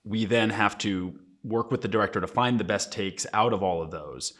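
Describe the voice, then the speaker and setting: A man's voice: on the low side, normal speed, fairly bright tone. A man speaking as audio production staff, explaining a process in interview style.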